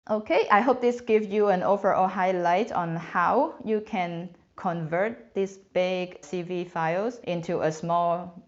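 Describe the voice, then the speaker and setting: A woman's voice: deep voice, a little mellow. A woman in her thirties from Hong Kong, with a Hong Kong accent and Cantonese as her first language, earnestly explaining career advice to the camera in a small room. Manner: earnest, slightly non-native phrasing, clear explanatory tone. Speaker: career advice video host. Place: small indoor room, plain background. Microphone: camera framed mid-shot. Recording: slightly dull sound with faint music.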